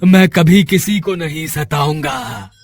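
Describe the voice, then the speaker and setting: A man performs a severed-head ghost from a horror cartoon. The voice is high for a male and drawn out slowly, with a menacing air that tips easily into wailing, pleading exclamations. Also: very animated, vocal fry, dry recording, faint music behind, exaggerated voice. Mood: panicked, pleading. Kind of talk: Hindi horror cartoon character voice acting.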